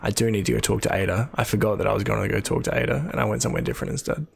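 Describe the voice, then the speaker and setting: A young adult man with an Australian accent, sick with a bad throat, giving a husky, casual running chat while streaming a game from a small room at home. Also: fairly low pitch, racing. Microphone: studio mic on an arm.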